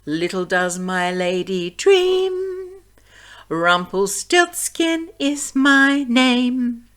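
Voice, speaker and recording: A woman's voice: a little high, sing-song, very slow, fairly bright tone, pitched above the performer's own voice, heavily acted. Woman playing a wily, sing-song little dwarf man. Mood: gleeful singing. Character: wily little dwarf man, bargaining, singing, quick to rage.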